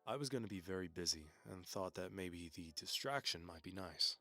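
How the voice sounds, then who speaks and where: A man reading a scripted story aloud in measured takes, repeating lines one after another. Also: sits low, expressive, sharp tone, faint music behind.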